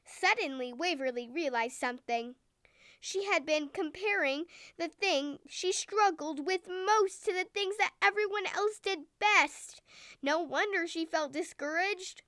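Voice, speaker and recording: A woman narrating a scripted bedtime story for young children in an expressive, deliberate, clearly worded way. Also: extremely high pitch, no echo, clean studio sound. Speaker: storyteller and show host.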